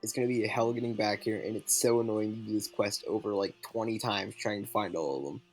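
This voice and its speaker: A man's voice: on the low side, fairly even intonation, fast talker, faint music behind, low-quality recording. A young man giving casual commentary for a video game guide. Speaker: gaming video creator.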